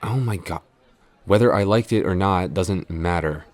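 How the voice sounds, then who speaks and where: A man playing a shy, easily flustered tsundere boyfriend and office coworker in an intimate audio roleplay, with a halting, stammering delivery. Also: sits low, natural voice. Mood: flustered, scolding.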